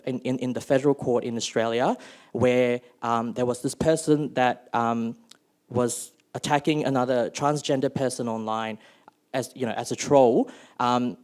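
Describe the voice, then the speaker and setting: A young man from Malaysia speaks on a panel at a middle pitch. He hedges and restarts often, with frequent ums. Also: a little mellow. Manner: hesitant, many ums and restarts. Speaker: panel speaker, youth delegate. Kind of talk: panel talk.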